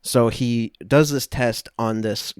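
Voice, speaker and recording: A man co-hosting a podcast, casually recapping a film to friends in a halting, conversational way. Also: medium pitch, steady tone, darkish tone, smooth, no room sound, studio quality. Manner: halting, loosely conversational storytelling. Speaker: podcast co-host.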